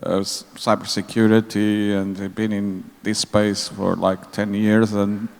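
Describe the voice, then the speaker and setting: A man giving an informal introduction on a conference panel, halting and searching for words. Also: fairly low pitch, little pitch movement, vocal fry, a little mellow. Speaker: panelist, tech entrepreneur. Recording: echoey hall reverb.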